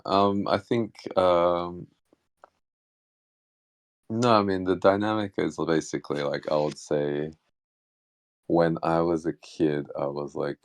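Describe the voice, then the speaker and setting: A man, a guest in an online interview, talking reflectively and haltingly with many fillers; his first language is Hakka Chinese. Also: sits low, steady tone, no echo. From Australia. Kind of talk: casual reflective conversation. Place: online voice chat.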